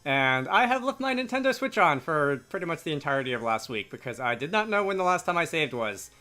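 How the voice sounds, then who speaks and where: A man's voice: a little high, big pitch swings, brisk, bright, forceful tone. A man giving casual gameplay commentary with dry, wry asides. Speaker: let's player. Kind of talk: casual gameplay commentary with read-aloud dialogue.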